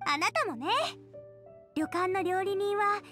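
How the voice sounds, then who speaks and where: A woman's voice: very high-pitched, unhurried, bright, forceful tone, soft background music, full character voice. A woman voicing a cheerful, earnest young girl chef in an anime-style game, friendly and encouraging. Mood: earnest, warm. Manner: bubbly, encouraging. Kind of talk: Japanese anime game voice acting.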